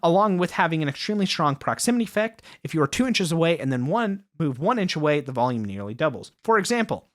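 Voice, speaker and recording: A man in a casual tech review, his pitch bouncing up and down as he talks. He drifts into chatty, self-deprecating asides and speaks slightly off axis into a studio dynamic mic. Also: very animated, fast talker, hardly any pauses. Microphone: studio dynamic mic, spoken off axis.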